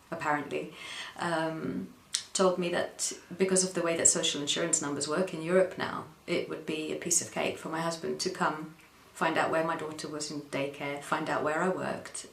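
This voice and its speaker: Low-voiced, gravelly woman, hesitant. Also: steady tone, a little hiss or hum. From Netherlands. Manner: hesitant, with frequent pauses and ums. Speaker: interview guest. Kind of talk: personal account in an interview. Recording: echoey room.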